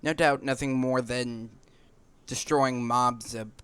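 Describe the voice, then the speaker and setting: A man's voice: medium pitch, fairly even intonation, takes it slowly, a bit bright, smooth, no echo. A man giving casual gameplay commentary, rambling and thinking aloud as he hosts. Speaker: gaming video host. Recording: game music behind the voice. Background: video game music.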